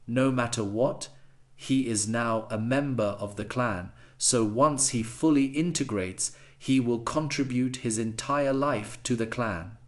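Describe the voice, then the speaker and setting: Male actor as a fantasy clan academy elder, mid-pitched, very slow, reflective, dutiful. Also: close to an everyday voice. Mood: thoughtful, satisfied. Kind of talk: dramatised audiobook acting.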